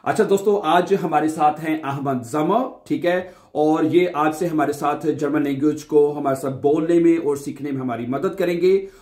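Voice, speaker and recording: Middle-aged teacher in an echoey room. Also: male voice, on the high side, a little mellow. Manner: repeats answers back slowly, explains patiently. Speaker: German teacher, interviewer. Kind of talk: bilingual language lesson, asking questions.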